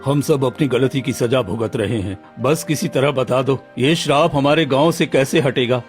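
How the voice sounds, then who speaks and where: A man's voice: mid-range voice, normal speed, soft background music, close to an everyday voice. A man playing an earnest, remorseful villager in a Hindi cartoon moral story. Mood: remorseful, pleading. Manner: pleading, earnest.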